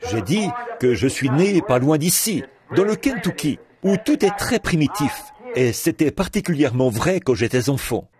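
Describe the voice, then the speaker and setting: A man delivering a translated sermon in a lively preaching cadence, repeating words as he goes. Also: on the high side, normal speed, hardly any pauses, a crowd in the background. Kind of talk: French reading of a translated sermon.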